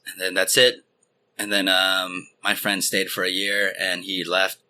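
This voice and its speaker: A man in his thirties from Hong Kong, a guest telling rambling, relaxed stories full of fillers. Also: low-pitched, a little slow. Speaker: interview guest, filmmaker. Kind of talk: casual interview chat.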